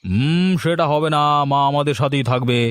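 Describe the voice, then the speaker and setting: A man voicing a greedy elder son in a cartoon story, ingratiating and quick to accuse. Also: on the high side, dry recording, natural voice. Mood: suspicious, accusing. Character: greedy elder son, grown man flattering his mother.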